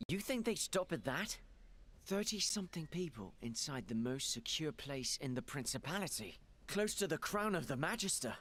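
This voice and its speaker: A husky, grim fantasy adventurer. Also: male voice, a little high, big pitch swings, normal speed, a bit bright. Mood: urgent. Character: grim, urgent male fantasy adventurer, party leader. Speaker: game character, leader of adventurers. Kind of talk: fantasy video game cutscene acting.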